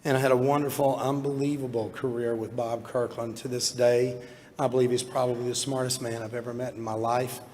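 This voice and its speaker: A man in his sixties with a hoarse voice from illness, giving a heartfelt, self-deprecating award acceptance speech from a podium. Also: medium pitch, little pitch movement, fairly quick, a little mellow. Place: large banquet hall at an awards event. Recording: long hall reverb, faint room noise.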